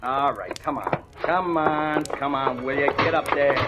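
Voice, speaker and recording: An actor playing a rough, impatient police underling in a 1940s radio crime drama, brusque in manner. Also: male voice, mid-range voice, unhurried, very noisy, lightly acted. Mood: obliging. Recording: old radio recording, loud music.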